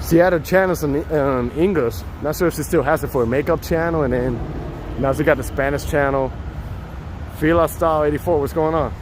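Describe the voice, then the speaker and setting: Man, Puerto Rican accent, slightly high voice, chatty live stream, phone mic, loud street traffic behind. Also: fairly quick. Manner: chatty, reads out viewer names. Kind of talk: casual live-stream walking tour. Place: outdoors on city streets.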